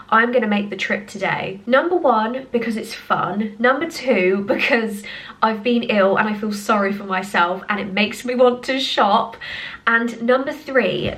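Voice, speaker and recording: A woman's voice: medium pitch, fairly bright tone. A woman talks casually to a handheld camera at home, her voice nasal from a cold. She is chatty and upbeat, the easy tone of a home decor vlogger. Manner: chatty and upbeat, frequent little laughs. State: has a cold. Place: at home, indoors.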